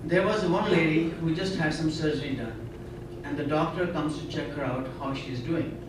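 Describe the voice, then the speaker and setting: Man from India, middle-aged, earnest storytelling, fairly high voice, booming hall echo. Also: moderate pace, background noise. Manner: earnest storyteller, frequent "you know". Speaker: conference speaker. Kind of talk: personal testimony talk. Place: conference hall. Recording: echoey hall, speaker far from mic.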